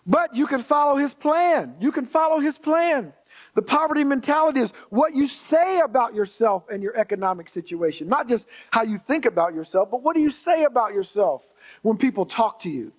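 A man with a strikingly high voice delivers a sermon. He is emphatic and returns to key phrases for weight. In between, he talks to his listeners directly, with conversational asides and rhetorical questions. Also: animated, moderate pace. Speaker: preacher. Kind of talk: sermon to a congregation.

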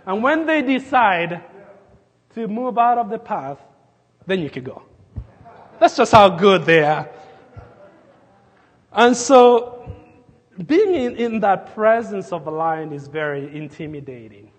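A man from Kenya, preaching a sermon and telling a story with fillers and restarts, sometimes dropping his voice to a hush. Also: high voice, animated, slow pace, long pauses, big-room sound. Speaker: pastor.

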